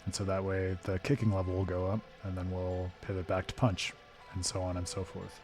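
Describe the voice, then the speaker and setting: A hoarse man hosting a live game stream, talking through his thoughts in a thoughtful, digressive way with frequent restarts. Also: low voice, monotone, brisk, darkish tone, soft background music. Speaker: streamer and host.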